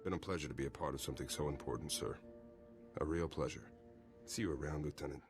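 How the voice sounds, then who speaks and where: A deferential captain in a sci-fi game. His voice is deep and plainspoken, with music clearly behind it. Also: quick pace. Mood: respectful, wry. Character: deferential, easygoing military captain. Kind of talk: video game voice acting.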